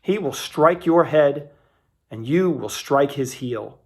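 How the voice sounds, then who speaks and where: A man's voice: on the high side, expressive, takes it slowly, a little mellow. A man voicing a stern, commanding God in a scripture reading, emphatic and accusing in manner. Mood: solemn judgment. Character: God, stern commanding male deity passing judgment.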